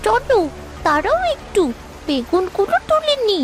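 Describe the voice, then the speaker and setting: A woman's voice: very high voice, sing-song, measured pace, heavily acted. A woman voicing an indignant, feisty poor village child in a cartoon, complaining and defiant. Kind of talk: Bengali cartoon voice acting. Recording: music behind the voice.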